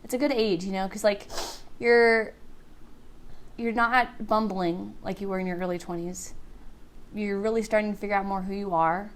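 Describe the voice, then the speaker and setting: A woman's voice: mid-range voice, steady tone, moderate pace, long pauses, slight background noise. Chatty woman in her twenties. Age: 20s. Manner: chatty, upbeat, fillers like "like". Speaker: podcast co-host. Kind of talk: casual chat.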